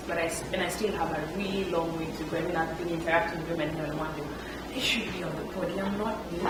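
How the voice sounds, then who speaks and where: A woman from Kenya, an entrepreneur on a panel telling stories from her life with frequent laughter. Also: lowish voice. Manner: animated, laughs often while telling stories. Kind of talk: storytelling at a panel talk. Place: event room. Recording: echoey room, picked up from far, background noise.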